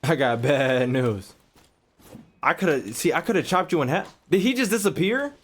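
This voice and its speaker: A man's voice: on the high side, lively intonation, normal speed. A man streaming a game with casual, blunt, joking commentary full of slang and swearing. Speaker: gaming streamer. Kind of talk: casual profane gameplay commentary.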